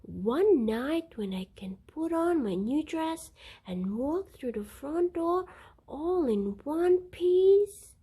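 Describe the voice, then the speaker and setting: Woman as an exasperated, high-pitched mother elephant. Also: expressive, slow, mellow. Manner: emphatic, scolding.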